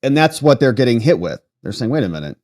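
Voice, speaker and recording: Male host for political livestream: mid-pitched, casual delivery, mocking and sarcastic. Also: very animated, quick pace, a little mellow. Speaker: host of a political commentary show.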